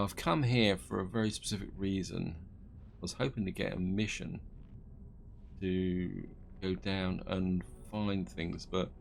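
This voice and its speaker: A man casually commenting on his gameplay as he plays, thinking aloud and correcting himself, his voice slightly croaky from allergies. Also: low voice, slight background noise. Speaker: gaming video host.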